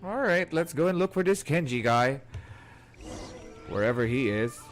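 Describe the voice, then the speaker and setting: A man's voice: medium pitch, expressive, normal speed. A man doing casual, chatty livestream commentary while playing a game, in a playful way. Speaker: game streamer. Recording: game music behind.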